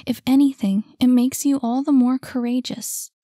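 A woman voicing a high, pleading young heroine. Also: fairly even intonation, moderate pace, dry recording, studio quality, close to an everyday voice. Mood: tender. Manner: soft, pleading, concerned. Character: gentle, caring young woman, earnest.

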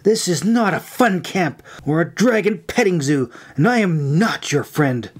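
A man's voice: mid-range voice, expressive, a little slow, darkish tone, natural voice. A man voicing a stern, snappish young woman who trains dragon riders, scolding and commanding in a story reading. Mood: sharp. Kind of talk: dramatised fiction reading.

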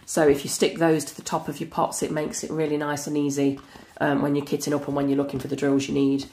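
Woman, hoarse with a cold, low and gravelly, chatty and excitable. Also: fast talker, a little hiss or hum. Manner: chatty and enthusiastic, frequent fillers. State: croaky from a cold. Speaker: crafting channel host. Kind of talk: casual unboxing chat. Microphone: camera over a tabletop.

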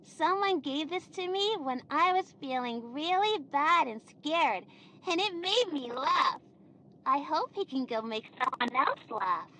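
A woman's voice: sits high, expressive, bright, forceful tone, a little hiss or hum, exaggerated voice, low-quality recording. A woman voicing a young cartoon girl who hosts a children's show in a video game, talking to viewers in a singsong way. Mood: sad, wistful. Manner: singsong, slow counting, addressing young viewers.

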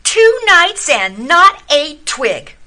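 High, plaintive long-suffering wife acted by a woman. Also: big pitch swings, drawn-out words, sharp tone, exaggerated voice. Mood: weary, hurt. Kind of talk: community radio theater acting.

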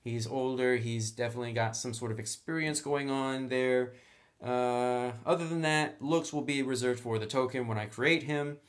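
A man's voice: medium pitch, steady tone, moderate pace. A man hosting a tabletop game tutorial, explaining things step by step in a casual, conversational way. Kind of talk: casual step-by-step tutorial, partly reading rules aloud.

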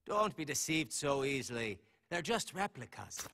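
A man's voice: medium pitch, sing-song, moderate pace. A man playing a cool, dismissive swordsman in a video game, dry and haughty in manner. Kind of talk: video game cutscene acting. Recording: loud background noise.

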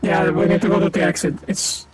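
A man with a high voice and a thick Venezuelan accent from Maracaibo chats and laughs through a co-op shooter stream. He is a native Spanish speaker who keeps calling out enemies. Loud game music plays behind him. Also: fairly even intonation, a little fast. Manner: chatty, laughs often, calls out enemies. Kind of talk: casual co-op gaming chat.

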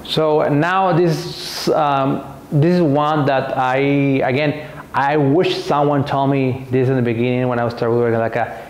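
Halting man in an echoey workshop. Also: mid-range voice, flat delivery, slight background noise. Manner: halting, repeats words, many fillers; gestures with hands while talking. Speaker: woodworking YouTuber. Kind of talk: casual tool recommendation vlog. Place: home workshop, indoors.

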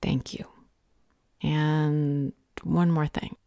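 A woman playing a firm, determined leader in an audio roleplay drama, stern and measured. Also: low voice, flat delivery, creaky, warm tone, no echo, clean studio sound, full character voice. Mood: resolute. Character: firm, determined woman leading a fight against a villain.

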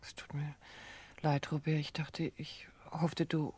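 An actress playing a gentle, self-effacing, dutiful woman in a radio play, speaking softly and hesitantly with a breathy voice. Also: low-pitched, little pitch movement, a little slow. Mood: subdued, pained. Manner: soft, hesitant, restrained. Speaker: actress in an audio drama.

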